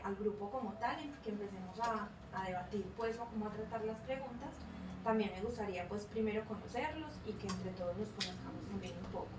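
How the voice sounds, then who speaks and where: A woman, a psychology student moderating a focus group and reading a consent form, hesitant with fillers and self-corrections. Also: mid-range voice. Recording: echoey room, far mic, noisy.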